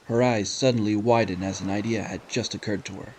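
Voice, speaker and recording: A man's voice: on the low side, fairly even intonation, a little fast, a little hiss or hum, poor audio. A man narrating a scripted audio drama as a storyteller. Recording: loud background music under narration.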